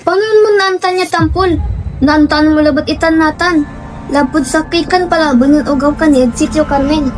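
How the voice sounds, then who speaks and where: A woman's voice: high-pitched, normal speed, soft background music, close to an everyday voice, low-quality recording. A young woman with a Pangasinan accent playing a bold leader of a group of friends in a student radio drama. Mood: questioning, urging. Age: young adult.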